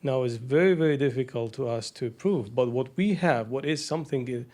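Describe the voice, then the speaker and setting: A man from Iraq hosts a podcast conversation. He speaks with feeling and often pauses to search for his words. His voice sits at a middle pitch. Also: darkish tone. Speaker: podcast host. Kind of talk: podcast interview.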